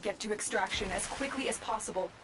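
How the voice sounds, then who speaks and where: Lady as calm sci-fi mission commander, instructive, clipped, medium voice, noisy background. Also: medium pitch, brisk, lightly acted. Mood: urgent encouragement. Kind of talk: science fiction game mission briefing. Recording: clear background game noise.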